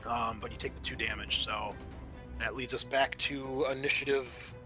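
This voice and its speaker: A man's voice: mid-range voice, fairly even intonation, moderate pace, bright, forceful tone. A man narrating a tabletop roleplaying game as game master, informal and thinking aloud as he goes. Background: music.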